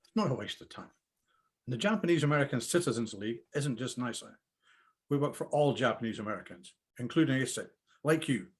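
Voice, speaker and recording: A man's voice: mid-range voice, expressive, brisk, close to an everyday voice. A man playing an earnest, idealistic young Japanese American son who defends himself at a script reading. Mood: earnest, defensive. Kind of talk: script table read.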